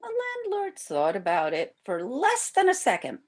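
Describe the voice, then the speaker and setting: An older woman reading a picture book aloud to young children as a volunteer storyteller, with expressive, drawn-out emphasis. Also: mid-range voice.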